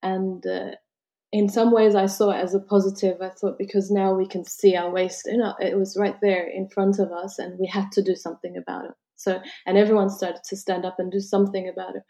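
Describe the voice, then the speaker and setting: A woman from Lebanon, whose first language was French, answers interview questions with a gravelly edge to her voice. Her tone is dark and mellow. She talks thoughtfully, restarting and filling pauses often. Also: medium pitch, little pitch movement. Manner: thoughtful, many restarts and fillers. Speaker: travel concierge.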